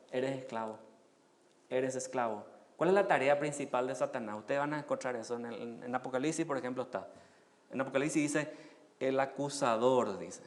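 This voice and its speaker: A man from Paraguay with a Paraguayan Spanish accent, preaching a sermon as pastor, asking rhetorical questions and rising loud on key phrases. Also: a little high.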